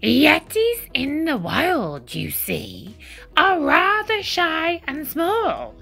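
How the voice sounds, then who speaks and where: Woman voicing a shy, gentle yeti. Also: high-pitched, wildly swooping pitch, very slow, vocal fry, faint music behind, voice pushed up, heavily acted. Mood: pleading. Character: shy gentle male yeti, lonely and kind.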